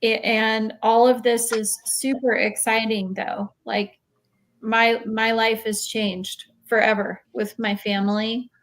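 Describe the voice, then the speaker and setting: A woman's voice: on the high side, level tone, slow, slight background noise. Woman giving earnest, informal advice. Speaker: real estate investor on a panel. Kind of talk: informal explanatory panel talk.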